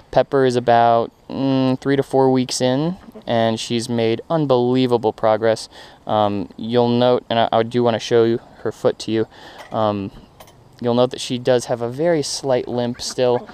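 A man explaining a home treatment step by step in a casual, halting way with many ums and restarts, recorded outdoors in a backyard on a head-mounted camera. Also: on the low side, darkish tone, smooth. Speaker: backyard poultry keeper. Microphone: head-mounted action camera.

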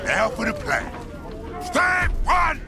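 Video game ork boss: greedy, cunning brute, Cockney, gravelly, big swooping pitch, unhurried bellow. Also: male voice, wildly swooping pitch, shouting, a crowd in the background, noisy background, exaggerated voice. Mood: commanding, eager. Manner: bellowing, crude broken grammar. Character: brutish, cunning, greedy ork warboss, male. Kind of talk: video game cutscene acting.

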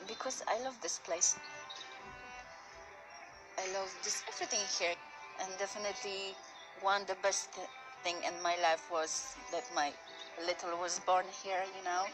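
A woman in her thirties from Poland with a Polish accent, speaking halting, emotional non-native English in a news interview. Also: mid-range voice, steady tone, over music, poor audio.

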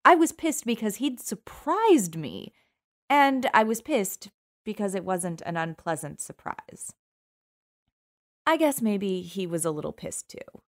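A woman's voice: mid-range voice, big pitch swings, measured pace. A woman narrating a first-person audiobook in a wry, sultry storytelling manner.

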